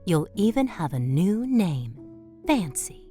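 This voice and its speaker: Woman playing a low-voiced, gentle mother mouse. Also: big pitch swings, slow pace, soft background music. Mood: patient, soothing. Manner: tender, patient, reassuring. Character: gentle mother mouse, soothing and wistfully devout. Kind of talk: audio drama dialogue.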